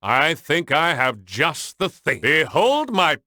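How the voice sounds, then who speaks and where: A brainy penguin inventor from a cartoon game. The voice is crisp-edged and forceful, sitting a little high, in a very dry recording. He explains things with technical precision and excitable, theatrical earnestness. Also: slow, no echo, exaggerated voice. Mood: eager, explanatory. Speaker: voice actor in a video game. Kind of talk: animated cartoon game dialogue.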